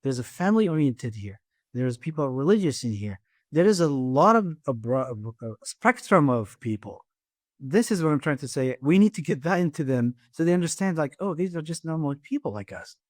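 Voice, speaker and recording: A middle-aged man with an Iraqi Arabic accent, a guest on a podcast interview, talking haltingly with many restarts and repeated words. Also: fairly high pitch, very animated, dry recording, studio quality. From Iraq. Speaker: guest, engineering firm president.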